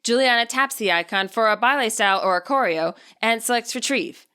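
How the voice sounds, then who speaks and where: A woman reading expressive scripted narration as the author and narrator of an audiobook. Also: a little high, a little fast, clear, resonant tone.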